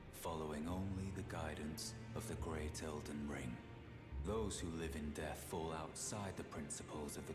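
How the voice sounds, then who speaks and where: An actor playing a grave, zealous hunter of the undead in a fantasy game, speaking in formal, archaic language. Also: male voice, a bass voice. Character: solemn zealous male hunter of the undead serving a holy order. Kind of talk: fantasy game character dialogue. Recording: echoey game voice over music.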